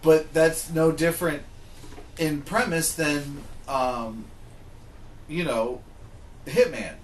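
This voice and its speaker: A man's voice: fairly high pitch, fairly even intonation, slow. Roomy-sounding man in casual podcast chat. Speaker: podcast co-host. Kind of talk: casual chat about games and films. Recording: roomy echo, faint background noise.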